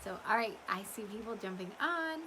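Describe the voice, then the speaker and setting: Bright, chatty Midwestern woman, slightly strained voice. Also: mid-range voice, animated, moderate pace, slight background noise. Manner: rambling, chatty. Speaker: small business owner hosting a live update. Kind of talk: casual livestream chat to customers.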